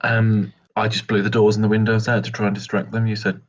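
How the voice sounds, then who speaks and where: A man's voice: lowish voice, completely flat delivery, fast, highs cut off. A man playing a tabletop roleplay game, chatting casually and hesitantly, sometimes speaking in character.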